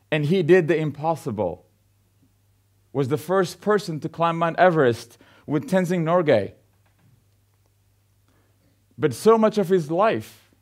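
Man, thirties, keynote speaker, earnest and measured, slightly high voice, lapel mic, large event hall. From Ethiopia. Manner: earnest, measured delivery with frequent pauses and fillers. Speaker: CEO giving a keynote talk. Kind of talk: conference keynote speech. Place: large indoor event hall with a seated audience.

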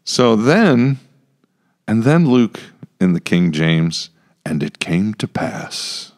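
A middle-aged American man co-hosting a casual podcast, chatty, halting and repeating words as he goes. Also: fairly low pitch, very animated, a little mellow. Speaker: podcast co-host, church pastor. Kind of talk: casual Bible discussion podcast. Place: small indoor room with acoustic panels. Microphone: close podcast microphone with headphones.

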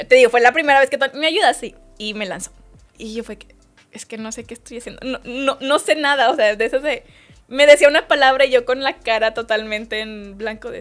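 Woman guest, slightly high voice, lively anecdote-teller. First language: Spanish. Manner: lively storytelling, quotes others' words. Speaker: interview guest. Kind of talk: casual interview chat.

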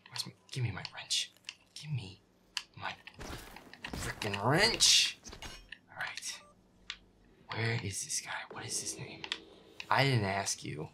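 A man muttering irritably and swearing to himself as he gives commentary on a horror game, his voice breathy and often half-whispered. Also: on the low side, lively intonation, takes it slowly, pauses often. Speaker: gamer playing in character.

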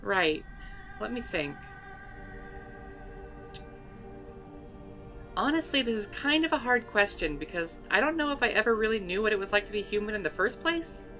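A woman's voice: mid-range voice, fairly quick, faint music behind. A woman playing a chatty, blunt young woman scientist who is part dog, in casual fan dub drama dialogue. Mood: thoughtful. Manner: casual, animated, exasperated at hesitation.

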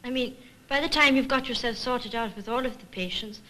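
Casting: actress for earnest junior nurse, high-pitched, hesitant, 1950s film sound with faint noise. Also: female voice, fairly quick, a bit bright, lightly acted. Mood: anxious, doubtful. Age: young adult. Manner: hesitant, candid. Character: earnest, uncertain young female nurse. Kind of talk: 1950s instructional film acting.

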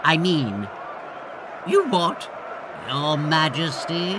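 Guy doing a grovelling snobby lord, high voice going all over the place, comedy drama. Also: expressive, drawn-out words, a crowd in the background, noisy background. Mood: indignant. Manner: obsequious, switching to brash and bossy. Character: fawning, grovelling male lord, rude to commoners. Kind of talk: comic audio drama acting.

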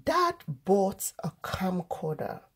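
Husky woman reading very slowly, lively pitch. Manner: deliberate, word-by-word delivery for early readers. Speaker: host reading children's books aloud. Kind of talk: slow read-aloud of a children's storybook.

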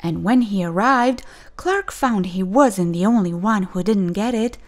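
A woman reading a children's storybook aloud with expressive, dramatic and deliberate phrasing. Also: medium pitch. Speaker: storybook reader.

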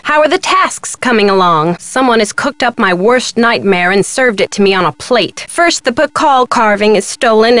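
Actress as self-important female curator, video game, brisk and sardonic, slightly high pitch, dry studio sound. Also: a bit bright, no echo, close to an everyday voice. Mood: brisk, bossy, then exasperated, sardonic.

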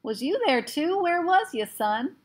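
A woman's voice: high-pitched, very animated, a little slow, voice pushed up. Woman putting on a folksy little cowboy. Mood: shaken. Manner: folksy drawl, ungrammatical. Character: tiny old-time cowboy man, Boone, folksy.

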